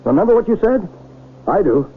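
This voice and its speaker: An actor playing an earnest, principled small-town mayor under pressure in an old radio drama. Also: male voice, high-pitched, sing-song, brisk. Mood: troubled, defiant. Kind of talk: 1980 radio drama acting. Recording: noisy, tinny old radio broadcast recording.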